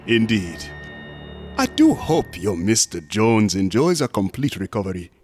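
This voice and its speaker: An actor playing a smug, unctuous museum assistant in a horror radio drama, courteous and insinuating, sometimes dropping into whispers. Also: male voice, fairly low pitch, big pitch swings, a little slow, darkish tone, soft background music. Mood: sinister, amused. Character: sinister, smug, unctuous museum assistant guarding macabre exhibits.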